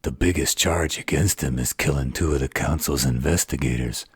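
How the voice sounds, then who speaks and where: A man's voice: extremely low pitch, moderate pace, breathy edge, dry recording, studio quality, exaggerated voice. A man playing a snarky, distrustful supernatural fighter in an audio roleplay, with a mocking drawl. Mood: accusing.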